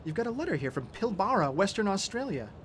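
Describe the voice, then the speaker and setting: A man's voice: high voice, very animated, fast talker, fairly bright tone, slight background noise. A young man playing an earnest, analytical student son in a radio play, articulate and reasoning. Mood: curious. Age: young adult.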